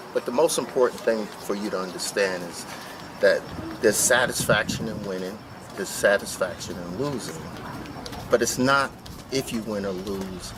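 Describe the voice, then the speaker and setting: A man with a New York accent, a youth basketball coach giving an earnest, motivational interview. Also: lowish voice. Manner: earnest, motivational, explains patiently. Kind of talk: on-location interview. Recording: distant mic, loud street noise.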